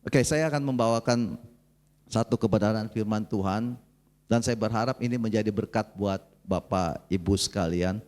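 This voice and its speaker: A man's voice: on the low side, moderate pace, darkish tone. A man of about seventy, preaching a conversational, folksy sermon and checking in with his congregation. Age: 69, nearly 70.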